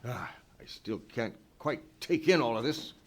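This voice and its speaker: A man's voice: mid-range voice, expressive, a little slow. A man playing a grave, authoritative British government official in a live radio play, formal and theatrical. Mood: troubled, grave.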